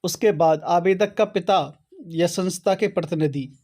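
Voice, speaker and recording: A man with a high-pitched voice gives an instructional talk for an online audience. He lays out procedures one step at a time, addressing viewers directly and punctuating his points with small confirmations. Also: unhurried, darkish tone. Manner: step-by-step, repeatedly checking with "okay". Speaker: host of an online how-to channel. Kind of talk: explanatory tutorial talk to viewers.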